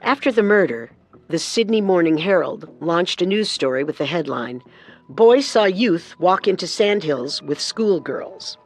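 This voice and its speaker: A woman co-narrating a scripted true-crime story with measured, dramatic delivery. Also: lowish voice, animated.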